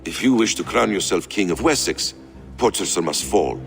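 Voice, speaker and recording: An actor playing a patient, shrewd Viking war counsellor in a video game, deliberate and persuasive. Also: male voice, sits low, animated, gravelly, faint music behind. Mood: measured. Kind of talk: dramatic video game acting.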